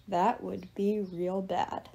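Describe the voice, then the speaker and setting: A woman's voice: mid-range voice, little pitch movement, slow pace, creaky, mellow, a little hiss or hum. A woman voicing a cocky, breezy young male god in an audiobook, cheerful and showy, given to grand announcements. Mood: urgent, flippant.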